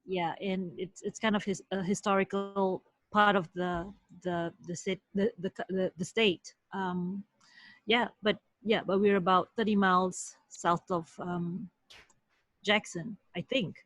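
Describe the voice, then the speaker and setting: A woman from Malaysia, a law professor, answering interview questions in a soft, hesitant way with frequent restarts. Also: mid-range voice, steady tone, unhurried, no echo.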